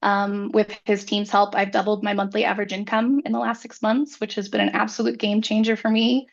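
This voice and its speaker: A woman's voice: medium pitch, level tone, brisk, creaky. Woman with a scratchy cold voice. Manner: frequent "um" hesitations. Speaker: graphic designer guest. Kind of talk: personal introduction on a video call. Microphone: video call. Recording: dull video-call audio.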